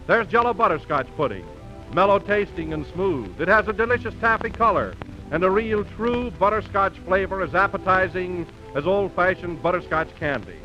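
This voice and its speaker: Male American announcer, high voice, polished 1930s sales read, muffled vintage radio sound with music. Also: lively intonation, normal speed, pushed and bright.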